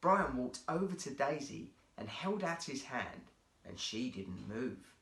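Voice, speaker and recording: A man's voice: mid-range voice, sing-song, slow, creaky, a bit bright. A man narrating a children's story expressively as a guest storyteller, recorded in a roomy, echoey space. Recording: roomy, echoey indoor sound.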